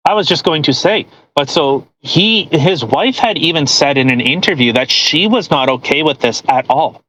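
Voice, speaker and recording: A man co-hosting a podcast, giving casual commentary in halting, rambling explanations peppered with "you know". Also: a little high, expressive, bright, forceful tone, no echo, rough recording. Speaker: podcast co-host.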